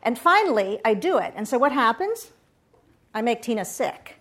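A middle-aged woman speaking as a guest lecturer, chatty and direct, who keeps asking her listeners if they follow. Her voice sits a touch high for a woman. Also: big pitch swings, moderate pace, a bit bright. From Puerto Rico. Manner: chatty, asks audience questions. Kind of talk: lively campus talk.

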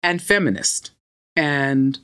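A middle-aged woman from New with a deep, soft, soothing voice, speaking as a podcast guest in a calm, self-assured way. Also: takes it slowly, clean tone. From United States. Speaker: podcast guest, law firm partner. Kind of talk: casual interview conversation.

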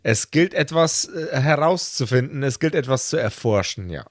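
A man's voice: mid-range voice, animated, normal speed, no echo, studio quality. A man explaining things casually as a game master, with a jokey, slangy way of talking. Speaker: game master of a role-play podcast.

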